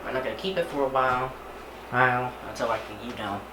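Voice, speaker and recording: A man with a medium-pitched voice presents an unboxing in a casual, informal way. He describes each small step aloud as he handles things. The home camera recording carries clear background noise. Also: fairly even intonation, fast, sharp tone, rough recording. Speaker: hobbyist video creator presenting an unboxing. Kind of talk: casual hands-on unboxing commentary.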